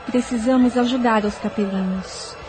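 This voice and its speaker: A woman's voice: on the high side, steady tone, normal speed, close to an everyday voice, low-quality recording. A woman with a Brazilian accent playing a solemn spirit helper in an audio drama, speaking in a dramatic, declamatory way. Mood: solemn urgency. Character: solemn spirit helper announcing a world's purge. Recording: loud music and crowd behind.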